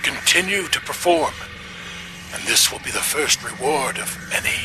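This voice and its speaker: A man's voice: mid-range voice, expressive, normal speed, heavy background noise, natural voice. A man playing a cruel, domineering older baron and father in a video game cutscene, pompous and mocking. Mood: gloating.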